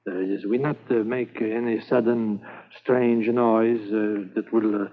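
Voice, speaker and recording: An actor playing a fearless, charming French Mountie tracker with a French accent, speaking halting broken English full of hesitations in a 1950s radio drama. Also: male voice, on the low side, fairly even intonation, natural voice. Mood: planning. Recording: thin phone-like old radio transcription.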